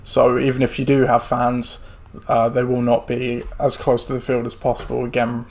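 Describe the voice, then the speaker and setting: A man's voice: medium pitch, completely flat delivery, normal speed, gravelly, a little mellow. A man hosting a casual sports podcast, chatty and rambling with lots of fillers, his voice a little worn as he says he is losing it. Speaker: podcast host.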